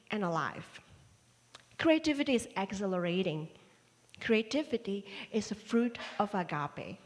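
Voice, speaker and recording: A woman who grew up in Indonesia, preaching a sermon with measured, playful storytelling. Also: a little high. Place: large church hall. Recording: reverberant church hall livestream.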